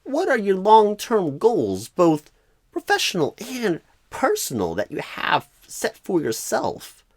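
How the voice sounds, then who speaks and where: A man voicing a clueless, rambling, eccentric job recruiter in an ASMR roleplay, halting oddly mid-phrase in a breathy, husky voice that often drops to a whisper. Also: high voice, wildly swooping pitch, no room sound, full character voice. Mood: earnest, halting.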